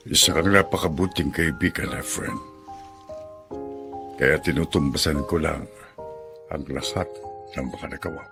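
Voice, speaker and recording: A man's voice: a bass voice, moderate pace, creaky, pauses often, over music. A man playing an earnest, confiding widower in a radio drama. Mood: earnest, grateful. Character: earnest wealthy widower confiding in his old friend. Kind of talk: Filipino radio drama acting.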